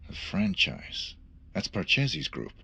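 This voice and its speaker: Very deep, gravelly, deadpan hitman. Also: a little slow, full character voice. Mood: cold, unmoved. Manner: deadpan, clipped, formal. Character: cold, laconic male professional hitman. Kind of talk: video game cutscene acting.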